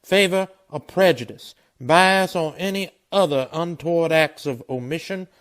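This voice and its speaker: A man's voice: on the high side, a little slow, a bit bright. A man playing a formal village official in a radio play, ceremonial and declamatory. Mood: solemn, officious.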